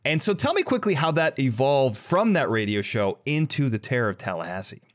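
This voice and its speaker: A man hosting a casual interview, talking in a halting, rambling way and often interrupting himself. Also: mid-range voice, big pitch swings. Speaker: podcast host interviewer.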